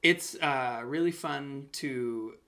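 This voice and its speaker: A man's voice: medium pitch, slow pace, sharp tone. A man hosting a conversational podcast interview, reflective and appreciative as he responds and asks questions.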